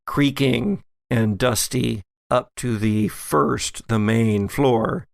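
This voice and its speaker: Slow, creaky-voiced man narrating a game. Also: mid-range voice, no echo, studio quality. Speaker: game master. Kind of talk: narrating a tabletop horror role-playing game.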